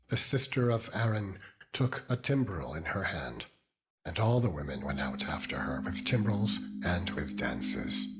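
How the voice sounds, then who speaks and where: A man giving a solemn, dramatized scripture reading in a measured, declamatory manner that lifts toward proclamation. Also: lowish voice. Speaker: narrator, Bible reader. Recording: loud meditative music with singing-bowl tones behind. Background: loud music, ringing singing bowl tones.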